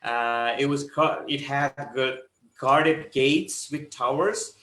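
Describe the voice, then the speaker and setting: A man's voice: mid-range voice, fairly bright tone. Roomy-sounding man presenting. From Nepal. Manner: measured, explanatory, pauses often to find words. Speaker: conference presenter, architecture researcher. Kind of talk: academic conference presentation. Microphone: video call.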